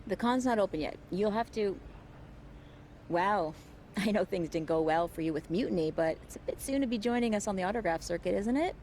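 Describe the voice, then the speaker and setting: A woman's voice: mid-range voice, expressive, brisk, darkish tone, a little hiss or hum, natural voice. A woman playing a wry, tough wrestling veteran in a video game story scene. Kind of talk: dramatic game dialogue.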